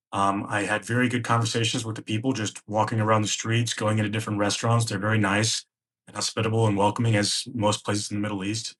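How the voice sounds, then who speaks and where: A man's voice: fairly low pitch, fairly bright tone. A man, a podcast guest, recounting a personal travel story in present tense and acting out the dialogue he remembers. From United States.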